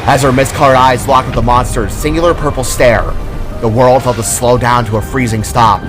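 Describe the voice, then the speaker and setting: A man's voice: mid-range voice, fairly even intonation, a little fast. A man reading his own scripted action story as narrator, in a dramatic storytelling style. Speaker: author-narrator. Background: music.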